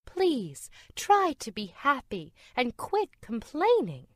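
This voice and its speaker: A woman's voice: high voice, huge pitch swings, slow, no echo, clean studio sound, voice pushed up, exaggerated voice. A woman voicing an earnest, coaxing female cat from a pirate ship in a children's storybook. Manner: earnest, pleading. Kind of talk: children's storybook read-aloud.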